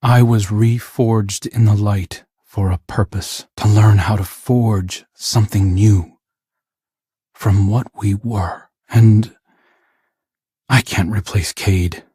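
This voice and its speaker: A man's voice: on the low side, steady tone, takes it slowly, mellow, breathy edge, dry recording, studio quality, heavily acted. A man playing a remorseful young guardian in a game, speaking in an earnest, introspective and confiding way. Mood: earnest resolve. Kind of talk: dramatic game voice acting.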